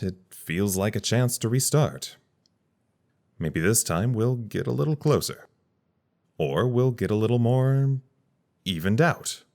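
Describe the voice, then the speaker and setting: A man's voice: lowish voice, darkish tone. An actor playing a weary, reflective man stranded at a secret facility, recording a musing, wry personal log in an audio drama. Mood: cautiously hopeful. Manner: musing, wry, pausing between thoughts.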